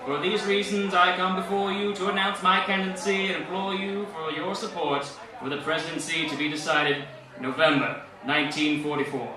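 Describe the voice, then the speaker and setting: An actor playing a pompous, smugly grand 1940s president giving a formal political speech as in old-time radio. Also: male voice, high voice, level tone, moderate pace, lightly acted. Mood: pompous, solemn. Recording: distant echoey hall with crowd behind.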